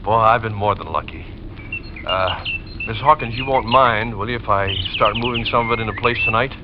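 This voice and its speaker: A man playing a gentle, wistful, polite widower obsessed with recreating his old home, in 1940s radio drama style. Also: lowish voice, moderate pace, natural voice. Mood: anxious, insistent. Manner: polite, earnest, nostalgic. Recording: dull, noisy old radio recording.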